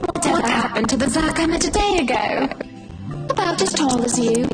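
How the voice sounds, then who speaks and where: A woman's voice: high voice, lively intonation, normal speed, poor audio. A woman playing a playful, teasing young woman with a dramatic flair in visual novel dialogue. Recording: loud music over the voice.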